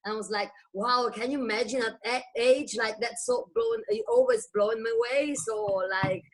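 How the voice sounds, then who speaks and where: A woman's voice: on the high side, animated, normal speed, rough recording. A woman from Italy with an Italian accent, telling her story as a guest on a video call, eager and a little broken in her English. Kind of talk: personal success story.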